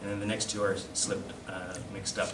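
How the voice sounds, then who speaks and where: A man with a lowish voice gives an informal presentation, picking his way haltingly through the material. He is picked up from across an echoey room, with clear noise behind him. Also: level tone. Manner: hesitant, halting delivery with self-deprecating asides. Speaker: presenter at a collectors' society. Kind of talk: informal slide-show lecture. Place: classroom, indoors. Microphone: camera across the room. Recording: distant mic, echoey room, loud background noise.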